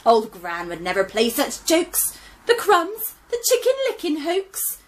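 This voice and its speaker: A woman voicing a group of bold, cheeky young piglets who talk loudly and bossily. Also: high-pitched, wildly swooping pitch, measured pace, voice pushed up, exaggerated voice. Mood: scolding. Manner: loud, bossy and mocking.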